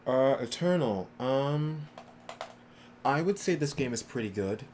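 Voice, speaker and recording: A man's voice: mid-range voice, expressive, measured pace, darkish tone, a little hiss or hum. A man livestreaming a game, chatting casually with viewers and commenting as he plays.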